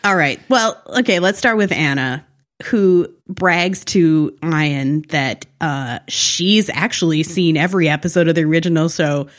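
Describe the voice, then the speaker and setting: Low-voiced woman chatting wryly. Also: measured pace. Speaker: podcast co-host. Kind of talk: casual TV recap chat.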